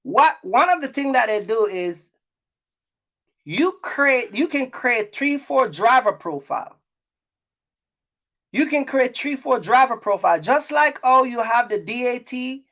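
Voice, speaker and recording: A man's voice: extremely high pitch, lively intonation, a little slow, sharp tone. A middle-aged man talking casually to his viewers in a vlog, emphatic and repetitive, often checking that listeners follow. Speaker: trucking business owner and content creator.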